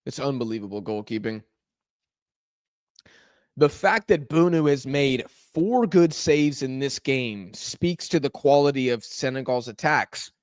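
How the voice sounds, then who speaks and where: A man hosting a live football watch-along, reacting excitedly to every chance and shouting at near misses, his voice going hoarse. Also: medium pitch, measured pace, no echo, studio quality. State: hoarse, losing voice.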